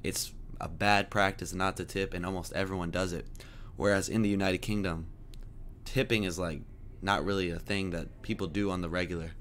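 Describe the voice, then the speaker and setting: Deep-voiced American man with a sore throat. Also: fairly even intonation, fairly quick, fairly bright tone, slight background noise. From United States. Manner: laid-back, chatty. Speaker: reaction video host. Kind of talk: casual chat.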